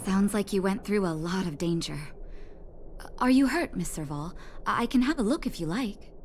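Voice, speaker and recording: An actress playing a gentle, composed, caring clinic doctor in a video game, soothing and gracious. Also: female voice, mid-range voice, animated, moderate pace, a little hiss or hum, lightly acted. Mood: kindly, concerned. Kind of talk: video game character dialogue.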